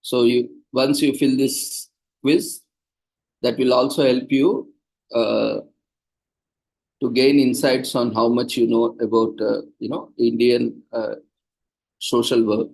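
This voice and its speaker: A man's voice: mid-range voice, gravelly, a little mellow, poor audio. A man organizing a seminar, patiently explaining procedures to participants over a video call. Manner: patient, instructive, plain and practical.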